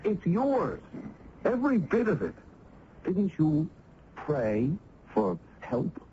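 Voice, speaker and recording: A man's voice: a little high, wildly swooping pitch, takes it slowly, full character voice. An actor playing an earnest, reassuring village youth who tells his story in a radio drama, with emphatic delivery. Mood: earnest, insistent. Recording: muffled, hissy old radio recording.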